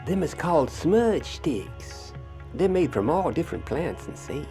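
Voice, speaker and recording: A man's voice: on the high side, big pitch swings, measured pace, dark tone, faint music behind. A man playing an eager, courteous, folksy assistant to a ghost hunter in an audio drama. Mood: hushed, confident. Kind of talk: audio drama character acting.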